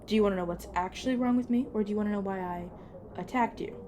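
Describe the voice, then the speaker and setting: A woman's voice: mid-range voice, fast talker, natural voice. A woman playing a weary, apologetic supernatural agent in an audio roleplay, explaining things patiently with hesitant, casual asides. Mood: apologetic, guarded. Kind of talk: narrative audio roleplay acting, addressed to listener. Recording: clearly noisy, background ambience effects.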